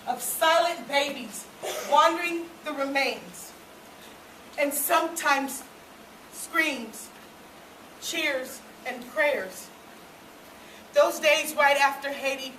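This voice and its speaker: Slow, high-voiced woman reciting solemnly in echoey hall. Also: a bit bright. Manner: solemn, deliberate, with dramatic pauses. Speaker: stage performer reading a memorial monologue. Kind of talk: dramatic stage monologue recital. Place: theatre stage, recorded from the audience. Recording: echoey hall from far off, faint noise.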